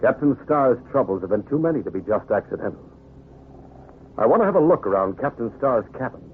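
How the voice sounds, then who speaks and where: A man playing a calm, grave pirate hero with measured authority, in an old-time radio adventure. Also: mid-range voice, natural voice. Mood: grave, resolute. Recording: tinny band-limited vintage radio, hissy.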